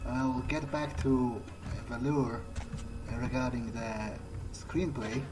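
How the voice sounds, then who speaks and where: A man's voice: medium pitch, fairly even intonation, takes it slowly, noisy background, lightly acted. A man playing an awkward, dry vampire adventurer in a hesitant, rambling improvised commentary on a video game. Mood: musing. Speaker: let's player. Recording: loud game music behind voice. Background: video game soundtrack.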